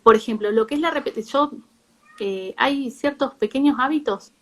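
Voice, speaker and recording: A woman psychologist with an Argentine accent, explaining informally in a live talk with a halting, self-rephrasing delivery. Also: high voice, fairly bright tone. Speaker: psychologist, guest speaker.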